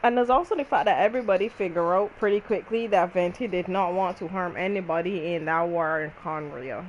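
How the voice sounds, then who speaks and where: A woman's voice: fairly low pitch, brisk, lo-fi sound. A woman gamer chatting casually while she plays, informal and thinking aloud. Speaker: gaming video creator. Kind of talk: casual chat while playing a game. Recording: faint background noise.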